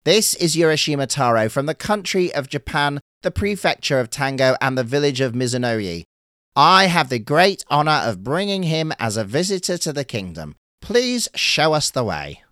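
A man voicing a kindly, calm little male sea turtle in a storybook tale, speaking slowly and courteously. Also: on the high side, no echo, studio quality, voice pushed up. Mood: formal. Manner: slow, gentle and courteous. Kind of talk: storybook character voice.

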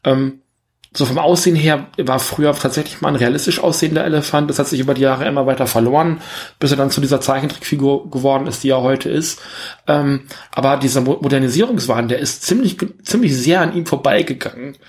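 A man's voice: medium pitch, quick pace. A man in a casual film review discussion as podcast co-host, making his points emphatically and repeating them.

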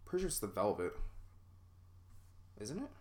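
A man's voice: lowish voice, huge pitch swings, moderate pace. A man hosting a solo podcast, rambling casually and self-deprecatingly with lots of fillers. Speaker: podcast host.

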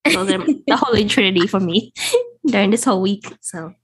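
A woman co-hosts a casual podcast with a stuffy nose from a recent cold. She jokes about herself in an easygoing way, at an ordinary pitch for a woman. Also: expressive, moderate pace, a crowd in the background. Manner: joking, self-deprecating. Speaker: podcast co-host.

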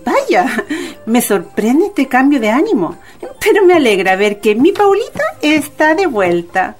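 A woman's voice: sits high, big pitch swings, a little slow, faint music behind. A woman playing a cheerful, scatterbrained mother in a radio drama, playful and homely, with a Chilean accent. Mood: cheerful, teasing.

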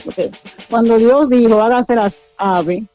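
Mellow-voiced woman on the phone. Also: fairly high pitch, slow pace. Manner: repeats herself, hesitant. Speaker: prank call target. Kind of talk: answering questions on a phone call. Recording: phone call with music underneath.

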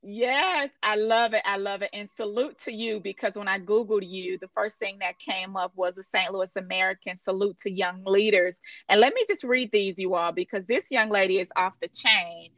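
A woman's voice: medium pitch, normal speed, few pauses, a bit bright, dry recording. A woman hosting an interview, hyped and affirming, cheering her guest on with casual slang. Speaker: interview host. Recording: dull, dry lo-fi audio.

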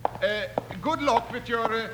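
A man's voice: very high-pitched, a little slow. An actor playing a good-natured, teasing townsman who stammers and repeats his words in a radio western. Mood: amused. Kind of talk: 1950s radio western acting. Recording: noisy old-time radio recording.

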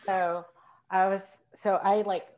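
A woman chatting as a podcast guest, telling stories in an animated, self-mocking way. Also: medium pitch, slow. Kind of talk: casual conversational storytelling.

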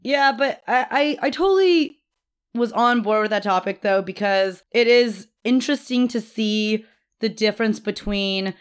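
A woman's voice: mid-range voice, smooth. Young woman co-hosting, teasing and joking. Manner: joking, teasing, quick to laugh. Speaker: podcast co-host. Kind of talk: casual chat.

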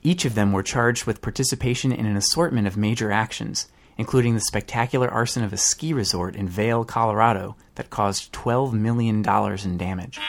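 A man's voice: lowish voice, vocal fry. A man delivering scripted narration as a podcast host.

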